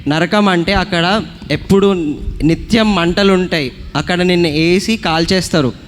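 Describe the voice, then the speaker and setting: High-voiced man hosting a puppet show. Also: moderate pace. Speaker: puppet show host, children's Bible school teacher. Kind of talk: simple conversational teaching to children. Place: hall at a church children's programme. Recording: echoing hall, noisy background.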